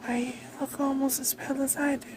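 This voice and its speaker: A woman playing a haughty, vengeful vampire queen in a roleplay monologue, imperious, taunting and deliberate in delivery. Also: high voice, level tone, background noise, close to an everyday voice. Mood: gloating.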